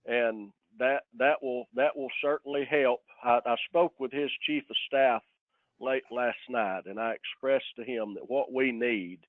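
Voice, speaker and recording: A man's voice: mid-range voice, fairly even intonation, a little slow, no room sound. Plain-spoken man on a tinny phone line. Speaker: county sheriff. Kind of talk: informal remarks in a public meeting. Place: telephone conference call.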